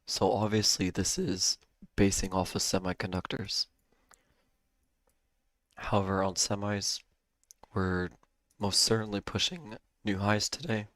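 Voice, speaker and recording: A man hosting a live day-trading stream, hoarse and losing his voice, talking casually with a cough drop in his mouth and clearing his throat. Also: on the low side, steady tone, measured pace, long pauses, fairly bright tone, no room sound. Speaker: livestream day-trading host.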